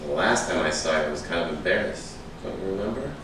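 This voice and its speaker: In a noisy, very reverberant room, a male actor plays a washed-up screenwriter with a lowish voice. He is cynical, proud and defensive, with a sardonic edge suited to a stage reading. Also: lively intonation, quick pace, creaky. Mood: smooth, evasive. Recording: echoey room, distant mic, noisy background hiss.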